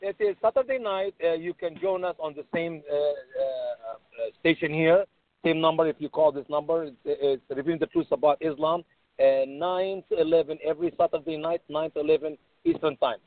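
A man's voice: on the high side, fairly quick, dry recording. A man calling into a talk radio debate as a guest, insistently pressing to finish his point. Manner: insistent, keeps cutting in to speak. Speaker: guest, radio show host. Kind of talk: phone-in panel debate. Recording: thin phone line, dry.

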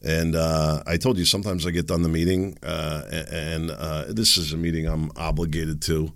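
Deep-voiced man in his fifties, dry booth sound, loose sarcastic solo podcaster. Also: little pitch movement, normal speed, vocal fry, fairly bright tone, no echo. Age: 50s. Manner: rambling, sarcastic, self-deprecating asides. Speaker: podcast host. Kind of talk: casual solo podcast monologue.

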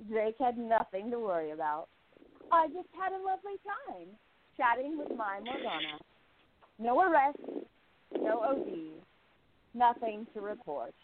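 A woman's voice: on the high side, very animated, measured pace, pauses often, noisy background. A woman playing an earnest, impassioned young 1920s newspaper columnist in an amateur radio play. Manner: earnest, impassioned about women's rights. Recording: tinny phone-like audio.

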